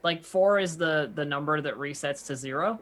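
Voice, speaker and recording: A man's voice: fairly high pitch, moderate pace, fairly bright tone. A man chatting casually as game master of a tabletop role-playing stream. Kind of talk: casual group chat.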